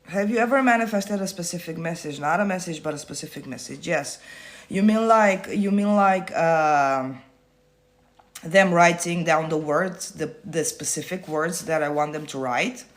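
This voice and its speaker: A man's voice: fairly high pitch, moderate pace, a bit bright. A man coaching viewers on a phone livestream, answering their comments in a blunt, casual way, swearing freely and repeating himself to make points land. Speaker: manifestation coach.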